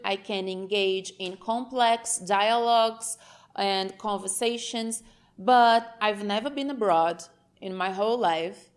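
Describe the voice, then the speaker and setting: A woman from Brazil with a Brazilian Portuguese accent, whose first language is Portuguese, speaking unscripted to camera as the host of her own channel. She talks frankly, wanders a little, and keeps checking in with "okay?". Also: medium pitch.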